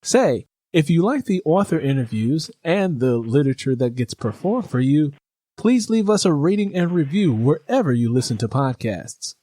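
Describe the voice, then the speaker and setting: A man's voice: on the high side, big pitch swings, normal speed, mellow, no room sound. A middle-aged American man hosting a podcast and interviewing an author.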